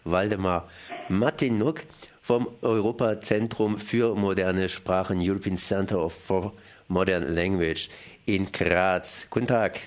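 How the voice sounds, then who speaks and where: A man interviewing a guest for radio, asking plain questions in a measured way from a studio. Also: deep voice, steady tone.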